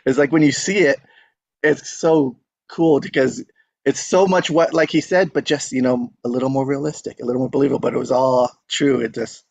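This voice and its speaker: Gravelly-edged man chatting casually. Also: mid-range voice, steady tone, fairly quick, a little mellow, no room sound. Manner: informal, rambling, quotes own thoughts. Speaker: host of a live script table read. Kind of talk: casual group chat.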